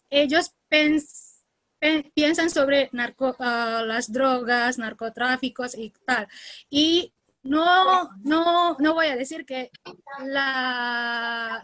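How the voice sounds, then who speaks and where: A woman from Indonesia with an Indonesian accent, giving a seminar presentation in beginner Spanish, often pausing to search for words, over a video call. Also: high-pitched, fairly bright tone, no room sound, rough recording.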